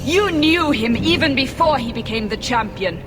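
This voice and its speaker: A stern, commanding woman interrogator voiced by an actress in a fantasy game, with a fairly high voice ringing in long reverb. She is blunt and impatient, with music underneath. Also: animated, moderate pace, close to an everyday voice. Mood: stern, demanding. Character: stern, demanding female interrogator, a holy Seeker. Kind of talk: video game cutscene acting. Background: cinematic game music.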